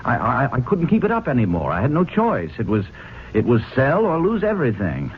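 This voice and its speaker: Animated, earnest young suitor. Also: male voice, mid-range voice, a little fast. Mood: apologetic. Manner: earnest, defensive. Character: earnest, apologetic young man, penniless suitor. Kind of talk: 1970s radio drama acting. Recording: dull, noisy old radio broadcast.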